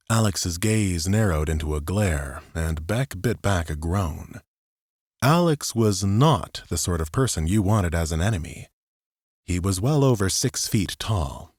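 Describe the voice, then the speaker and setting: A man narrates dramatic fiction for an audiobook. His voice is fairly low, and the recording is very dry and close, with no room sound. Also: a little mellow, no room sound.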